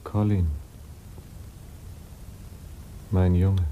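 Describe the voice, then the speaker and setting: An actor playing a broken, grieving widowed father, gentle and weary, halting and subdued, in 1950s radio drama style. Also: little pitch movement, narrow, tinny audio. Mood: tender. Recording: rumbly noisy 1950s radio recording.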